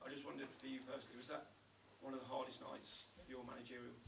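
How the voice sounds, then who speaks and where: A hoarse reporter who is losing his voice asks quick, monotone questions, his tone gravelly. He is far from the mic in an echoing room, with loud noise over the sound. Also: medium pitch. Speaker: sports journalist.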